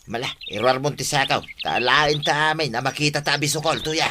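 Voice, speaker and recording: A man's voice: on the high side, a little fast, noisy background, natural voice. A man with an Ilocano accent playing a scheming, pushy snail vendor in a radio comedy drama, with exaggerated wheedling delivery. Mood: greedy, eager. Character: scheming, pushy male snail vendor hustling his neighbours.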